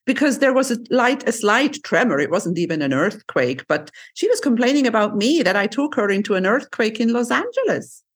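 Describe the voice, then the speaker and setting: A woman's voice: fairly high pitch, animated, a little fast, hardly any pauses, breathy edge. A woman talking casually about travel in a conversation. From Switzerland. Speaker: former tour guide.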